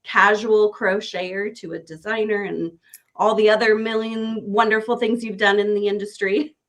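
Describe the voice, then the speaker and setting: A woman's voice: mid-range voice, fairly even intonation, normal speed. A woman hosting an interview, asking questions in an enthusiastic, casual way. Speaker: host.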